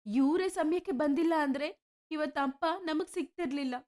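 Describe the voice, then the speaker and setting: A woman voicing a frank, warm-hearted young village daughter in a story. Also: high voice, normal speed, lightly acted. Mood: grateful. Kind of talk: animated moral story dialogue.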